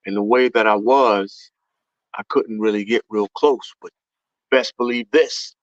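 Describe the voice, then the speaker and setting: A man's voice: on the low side, steady tone, measured pace, dry recording, dull audio. A man talking with a fervent, preaching cadence in an impassioned conversation.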